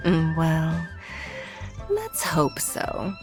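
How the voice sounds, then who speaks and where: An actress voicing a composed, courteous woman who leads a city, speaking in a formal, elegant, measured way. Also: deep voice, huge pitch swings, over music, exaggerated voice. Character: poised, courteous adult woman leader of a city. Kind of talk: video game voice acting.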